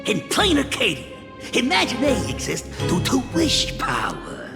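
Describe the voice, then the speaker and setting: Creaky, wildly animated gang boss. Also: mid-range voice, a little slow, full character voice. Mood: assured. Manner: theatrical, chuckling, drawling interjections like gee and eh. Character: eccentric, wily old crime syndicate boss, theatrical and boastful. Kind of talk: theatrical video game voice acting. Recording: long reverb, game music behind. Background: game background music.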